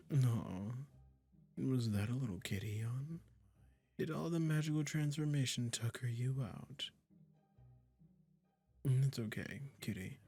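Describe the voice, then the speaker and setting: Man voicing a breathy, slow-talking possessive male witch. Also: mid-range voice, darkish tone, pitched above the performer's own voice. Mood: doting, cooing. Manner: condescending, cooing, breathy endearments. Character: possessive male witch, smug, doting, sinister yandere. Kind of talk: intimate ASMR roleplay acting.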